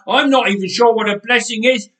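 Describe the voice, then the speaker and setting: A man's voice: extremely high pitch, a little fast, sharp tone, voice pushed up. Man doing a whiny cheeky young boy. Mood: indignant. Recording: roomy church echo.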